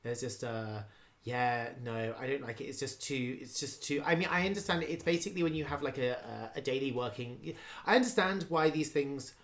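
A man's voice: medium pitch, brisk, fairly bright tone. A man of about thirty in a casual chat, wry and emphatic, repeating himself as he thinks aloud.

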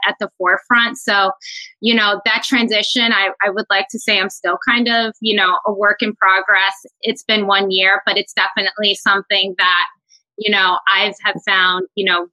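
A woman from New York City talks through her career on a video call panel. Her voice is very bright and her manner is loose and conversational, with frequent fillers. The sound is dry and close. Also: mid-range voice, dry recording, low-quality recording. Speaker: panel guest.

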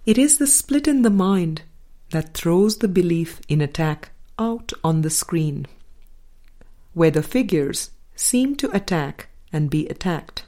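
Woman, audiobook reader, very slow pace, soft dark tone, deliberate pauses, scripted spiritual reading. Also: fairly low pitch, expressive. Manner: measured, deliberate phrasing with pauses.

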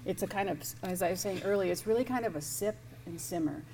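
Female interviewer, low gravelly voice, chatty with lots of ums, faint hiss under it. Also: fast, mellow. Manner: chatty, hesitant, many fillers. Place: event space with an audience.

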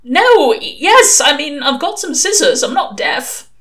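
A woman's voice: on the high side, big pitch swings, normal speed, pitched above the performer's own voice. A woman voicing an earnest, awkward young man who speaks tentatively and stammers, in an audiobook. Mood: flustered.

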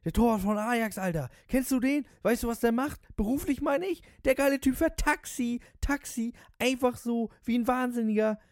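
Storybook cabbie, performed by a man: high-pitched, loudmouthed fanatic, slangy and shouting, very dry recording. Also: normal speed, few pauses, no room sound. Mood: indignant, fired up. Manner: slangy, bragging, shouting exclamations. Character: ecstatic, loudmouthed sports-mad male taxi driver.

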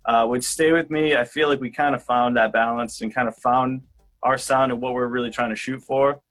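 A man's voice: mid-range voice, fairly quick. Young man chatting loosely, full of fillers. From southeastern Massachusetts. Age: young adult. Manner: relaxed, hesitant, many "kinda" and "uh". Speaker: band member guest. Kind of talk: casual interview chat.

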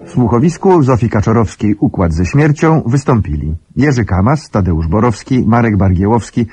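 A man's voice: medium pitch, lively intonation, normal speed, mellow, husky, faint music behind. A man making a formal announcement as a radio announcer, reading in a scripted style.

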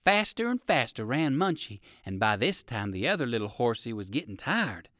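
A man narrating a children's story in an expressive, dramatic manner. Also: medium pitch, normal speed, no echo, clean studio sound.

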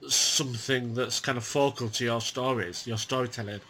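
A man hosts a casual film podcast, his voice bright and forceful at an ordinary pitch. He talks in a chatty way, stopping to restart and fill in with "kind of" and "you know". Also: fairly even intonation, moderate pace. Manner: chatty, hesitant with fillers and restarts. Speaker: podcast host.

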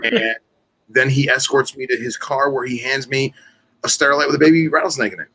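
A man co-hosting a casual podcast, telling anecdotes in a teasing, animated way. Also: medium pitch, fairly quick, a little hiss or hum, rough recording. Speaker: podcast co-host.

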